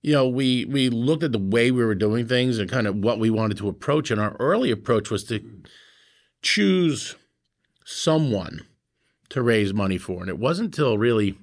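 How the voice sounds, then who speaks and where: A middle-aged man, a founding club member, telling wry stories in a casual group chat. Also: medium pitch. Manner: wry, storytelling, frequent fillers.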